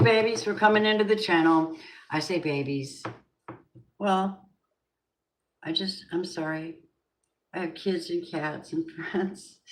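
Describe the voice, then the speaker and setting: Older lady tarot reader, chatty and rambly, calls everyone babies, noisy stream with clicks. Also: sits low. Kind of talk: live-streamed tarot reading.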